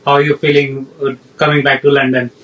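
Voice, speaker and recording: A man's voice: medium pitch, completely flat delivery, fairly quick, a bit bright, distant mic, a little hiss or hum, rough recording. A man hosting a casual podcast interview, asking questions with frequent hesitations and fillers. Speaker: podcast host.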